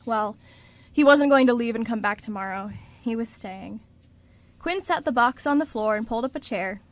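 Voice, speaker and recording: A woman narrating a scripted story for a radio drama. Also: on the high side.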